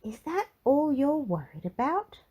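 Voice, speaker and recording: A woman's voice: high voice, big pitch swings, unhurried, darkish tone, voice pushed up. A woman voicing a prim, haughty little storybook lady who speaks in an emphatic, exclamatory way. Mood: surprised, reassuring. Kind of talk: storybook character voice.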